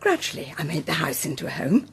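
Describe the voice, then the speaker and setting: An actress playing a gracious older farmhouse mother in a radio soap, husky. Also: low-pitched, big pitch swings, fast talker, a bit bright, heavily acted. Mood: nostalgic. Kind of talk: naturalistic radio soap acting.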